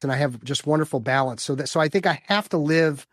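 An upbeat man in his fifties from the Chicago area talks as a coach being interviewed. His voice sits on the higher side for a man, and he often doubles words as he builds to a point. The sound is dry and close, with no room echo. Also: fast talker, breathy edge. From Chicago area, US Midwest. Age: 50s. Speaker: guest, business development coach for lawyers. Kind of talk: casual interview answers.

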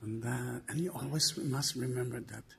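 A man's voice: medium pitch, moderate pace, gravelly, slightly rough. A man working as a tour guide and vlogger, chatting casually from behind the camera on a handheld phone in a large stone church. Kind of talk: casual chat while filming. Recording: phone recording with loud noise.

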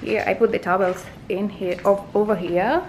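A young woman chats loosely into a handheld camera at arm's length, rambling and restarting her sentences. Her voice sits at an ordinary middle pitch. Clear ambient noise and traffic hum run behind her. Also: steady tone, moderate pace, reverberant, long reverb tail. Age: young adult. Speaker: travel vlogger. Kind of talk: casual vlog to camera. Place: hotel rooms, a car and outdoors.

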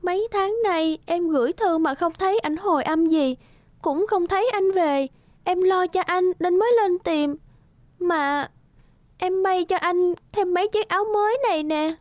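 An actress playing a devoted, gentle and anxious young village woman in a cartoon. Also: extremely high pitch, unhurried, no echo. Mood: worried. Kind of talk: cartoon dialogue acting. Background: background music.

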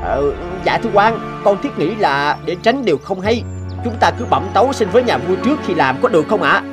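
A man's voice: sits high, lively intonation, fairly quick, music in the background. An actor playing a nervous cartoon soldier, hesitant and deferential as he reports to his superior. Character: nervous, deferential male soldier serving an official.

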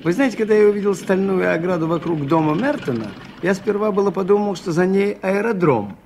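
A man's voice: high-pitched, lively intonation, fairly quick, a little mellow, a little hiss or hum. A man playing a mild, shrewd priest detective in a radio play, speaking politely and hesitantly as he muses. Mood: musing, curious. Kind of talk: 1980s radio play acting.

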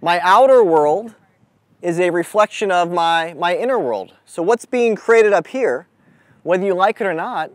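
A man speaking as a mental toughness coach, giving a deliberate, instructive motivational lesson to a group out in the open. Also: high-pitched, no room sound. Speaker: mental toughness coach leading a youth clinic. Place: outdoors on an amphitheater lawn.

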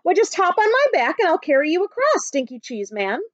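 A woman's voice: very high-pitched, very animated, fairly quick, dry recording, dull audio, voice pushed up. A woman voicing a sly fox from a children's picture book. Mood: sly coaxing.

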